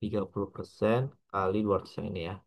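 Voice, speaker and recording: A man with an Indonesian accent lecturing on a worked example step by step, halting often, mixing in English terms and adding little checking tags. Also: sits low, flat delivery, gravelly. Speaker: accounting lecturer.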